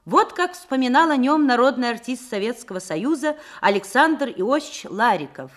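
A woman's voice: fairly high pitch, lively intonation, normal speed. A woman narrating a documentary in a solemn, formal scripted style.

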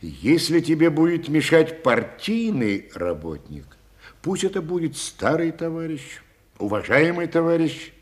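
A man acting a senior official in an archive stage recording, speaking low and firm in a lecturing way. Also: very animated, unhurried. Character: male senior Soviet official, commanding and blunt. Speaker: stage actor in an archive theatre scene.

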